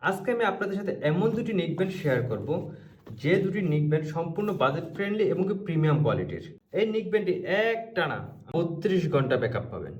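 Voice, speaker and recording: A man's voice: a little high, darkish tone, recorded from a distance. A man presenting a promotional product review.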